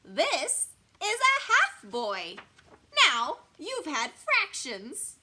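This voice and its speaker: A woman voicing a cackling, gleefully cruel monster schoolteacher in a children's storybook, with drawn-out, mock-sweet theatrical menace. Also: high voice, wildly swooping pitch, sharp tone, voice pushed up, full character voice.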